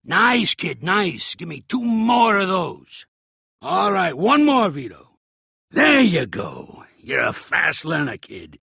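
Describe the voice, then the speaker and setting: An actor playing a gruff, streetwise older mobster in a video game, with an American accent, a raspy voice and a blunt, slangy, commanding way of talking. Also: male voice, high voice, very animated, takes it slowly, pushed and bright, dry recording, clean studio sound, exaggerated voice. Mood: barking, urging, then fatherly, steady. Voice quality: raspy, gravelly. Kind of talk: video game character acting.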